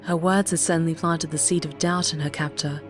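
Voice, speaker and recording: Female audiobook narrator, low register, measured and dramatic, reading fiction over a soft music bed. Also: steady tone.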